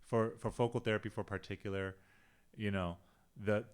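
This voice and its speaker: A man speaking as a urologist on a medical panel, talking haltingly with many restarts. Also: fairly low pitch, steady tone, clean tone. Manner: hesitant, many restarts and fillers. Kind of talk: panel discussion.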